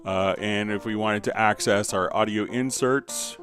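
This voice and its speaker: A man hosting a live stream, talking viewers through software in a casual, conversational, explanatory way. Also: fairly low pitch, steady tone, measured pace, over music. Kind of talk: casual live tutorial and chat.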